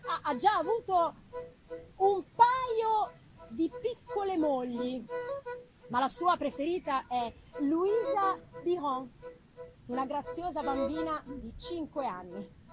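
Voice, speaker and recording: A woman voicing an affectionate, anxious young gentlewoman in a theatrical, unhurried reading of a letter from a gothic novel. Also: sits high, rough recording. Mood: fond. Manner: expressive, unhurried dramatic delivery with pauses. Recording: live music behind the voice.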